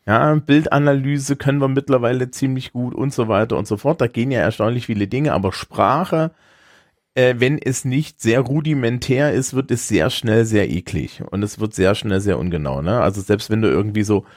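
A man's voice: medium pitch, moderate pace, darkish tone, no room sound. A man with a light Thuringian accent chats on a tech podcast in a wry, self-deprecating way. The sound is close and dry, with no room around it. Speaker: podcast co-host. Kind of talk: casual chat.

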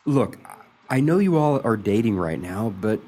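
A man's voice: on the low side, very animated, normal speed, mellow. An actor playing a nervous, lovesick, agreeable young man in a radio play, earnest and stammering. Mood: pleading.

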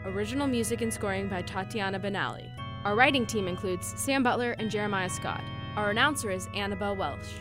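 A woman's voice: medium pitch, fairly quick. A woman announcer reading scripted credits for a radio drama. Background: music, guitar.

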